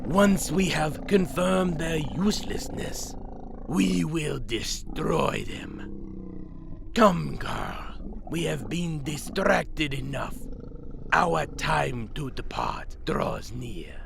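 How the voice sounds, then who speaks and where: A gravelly, ancient vampire king. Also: a little high, big pitch swings, noisy background, heavily acted. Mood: cold disdain. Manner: grandiose, slow, menacing pronouncements. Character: ancient vampire king, imperious and contemptuous former emperor. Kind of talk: radio play acting.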